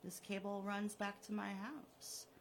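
A sadistic killer who gloats over his captive, purring threats in a slow, mocking drawl, as a woman puts him on. The voice is gravelly with fry, and the delivery crawls. Quiet menace sits under the teasing. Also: mid-range voice, little pitch movement, slight background noise, lightly acted. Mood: taunting. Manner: mocking, softly menacing, drawn out.

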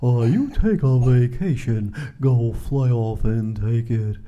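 A big, gentle, faithful elephant, voiced by a woman, speaking in a very low, dark voice. He talks slowly and earnestly, as in a storybook reading. The recording sounds dull and lo-fi. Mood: agreeable.

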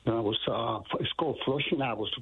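A man's voice: mid-range voice, fairly quick. A man in his sixties from Puerto Rico, calling in to a radio talk show and telling a long story in an earnest, rambling way with many fillers. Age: 60s. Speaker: caller. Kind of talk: phone-in call. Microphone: phone line. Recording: tinny phone line, husky.

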